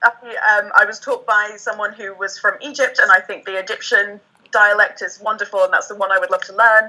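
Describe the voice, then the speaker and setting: A woman's voice: medium pitch, fairly even intonation, brisk, sharp tone, poor audio. A young woman from England with an English accent, chatting as a guest on a laptop video call, hesitant with many fillers and restarts. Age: young adult. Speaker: guest, language learner and French teacher. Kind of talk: casual video call chat.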